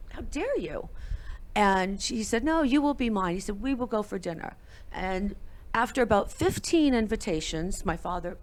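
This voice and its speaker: A woman who is a guest on a talk show tells her parents' love story with lively acted-out dialogue. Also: mid-range voice. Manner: animated storyteller acting out family dialogue. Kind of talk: storytelling in an interview.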